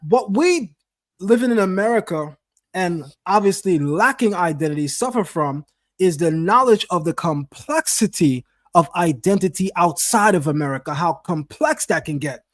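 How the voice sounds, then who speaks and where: A man's voice: high-pitched, expressive, moderate pace. A man hosting a discussion, talking emphatically. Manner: emphatic, preacher-like call and response. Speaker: host. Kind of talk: casual discussion with a guest.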